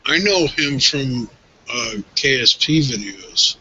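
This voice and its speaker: A man's voice: mid-range voice, expressive, slow, sharp tone, slightly rough, lo-fi sound. A man on an online panel, talking in an encouraging, easygoing way. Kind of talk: casual group chat.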